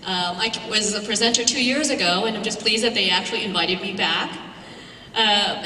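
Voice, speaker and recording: A woman's voice: mid-range voice, pushed and bright, slight background noise. A woman professor giving a symposium talk, telling a personal story in a conversational way with some fillers. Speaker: guest speaker, professor. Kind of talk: symposium lecture. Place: large lecture hall. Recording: echoey hall, far from mic.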